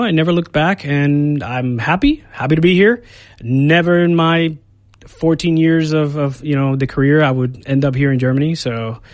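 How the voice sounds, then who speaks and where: A man's voice: on the high side, no room sound. A man from Puerto Rico in his thirties, an Air Force recruiter, talking casually in a radio studio interview with many fillers and restarts.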